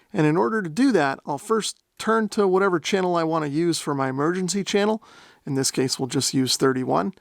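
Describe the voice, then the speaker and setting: A man's voice: a little high, creaky, no room sound, studio quality. A man narrating a product demonstration in a methodical, step-by-step explanatory way. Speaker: host of a radio gear review channel.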